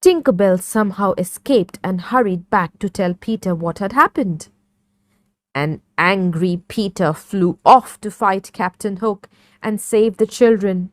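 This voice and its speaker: A woman narrating a scripted children's story in a measured, clearly phrased read-along manner. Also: mid-range voice, no room sound.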